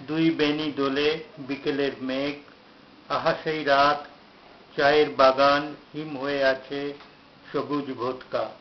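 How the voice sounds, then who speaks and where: A man reciting his own poem in a measured, declamatory way, with pauses between phrases. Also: medium pitch, level tone. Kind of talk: poetry recitation. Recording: dull lo-fi, faint background noise.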